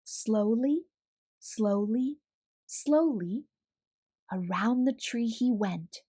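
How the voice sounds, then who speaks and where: Woman storybook narrator, unhurried pace, high voice, expressive, dramatic pauses. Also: husky. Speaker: storybook read-aloud host.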